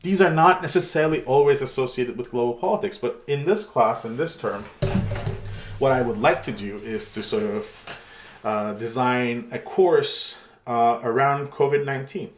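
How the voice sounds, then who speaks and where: A middle-aged man from Turkey giving a pre-recorded online university lecture in a relaxed, chatty way with many fillers. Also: medium pitch, normal speed. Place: home room. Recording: echoey room.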